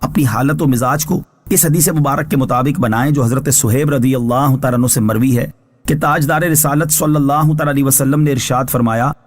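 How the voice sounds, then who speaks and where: A man giving formal religious commentary as a scholar, reading prepared scripture translation and explanation in a measured, instructive way. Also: medium pitch. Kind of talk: religious exegesis, read from a prepared text.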